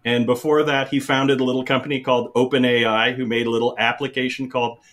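A man's voice: medium pitch, level tone, fast, fairly bright tone. A middle-aged man from the Philippines, a guest telling stories in a casual interview, rambling with many fillers and restarts.

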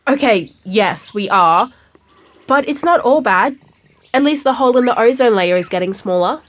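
A woman's voice: a little high, expressive, normal speed. An actress playing an exasperated schoolgirl granddaughter in a comic audio drama. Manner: exasperated, sighing.

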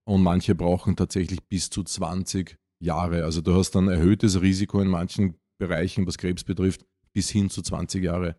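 Low-voiced, mellow Austrian man explaining. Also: little pitch movement, normal speed, hardly any pauses, no echo, clean studio sound. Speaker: podcast co-host. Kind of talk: informal explanatory talk on a podcast.

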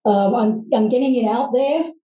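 A middle-aged woman from Hong Kong, telling her life story as a guest, earnestly and haltingly, with many ums and restarts. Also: on the high side. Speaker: guest, private English teacher. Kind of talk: personal storytelling in interview. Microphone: video call. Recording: distant, roomy, dull video-call audio.

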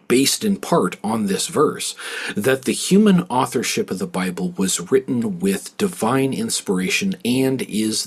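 A man hosting a podcast, explaining things in a measured, articulate, scholarly way. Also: fairly low pitch, husky. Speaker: podcast host. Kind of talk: podcast discussion and scripted explanatory narration.

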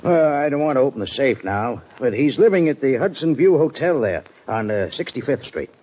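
An actor playing a jovial but evasive saloon boss in an old radio drama. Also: male voice, medium pitch, animated, fairly quick, breathy edge. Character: slick, shifty saloon boss, middle-aged man. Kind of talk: 1940s radio acting. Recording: dull old radio recording with faint hiss.

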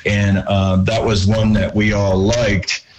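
A man who sings in a rock band, talking casually in an interview with a lower voice and lots of fillers. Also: level tone, slow, poor audio. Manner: rambling, chatty, many fillers. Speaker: band singer.

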